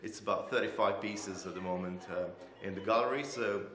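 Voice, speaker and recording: Male artist interviewee, Croatian accent, fairly low voice, halting delivery, roomy sound. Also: pushed and bright. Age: 40s. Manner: hesitant, searching for words. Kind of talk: unscripted interview answers. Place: indoors in an art gallery room. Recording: echoey room.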